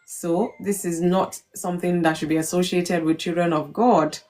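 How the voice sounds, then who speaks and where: A woman's voice: on the low side, steady tone, moderate pace. Woman hosting a faith talk. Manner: warm greetings to viewers, many fillers. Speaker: live stream host.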